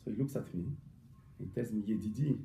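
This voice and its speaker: Rabbi guy teaching a class, stops and repeats a lot, gravelly low-toned voice, echoey room. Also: mellow. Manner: halting, repeats words, mixes Hebrew and Yiddish terms. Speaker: rabbi teaching family purity law. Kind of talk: informal lesson. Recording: echoey room, faint background noise.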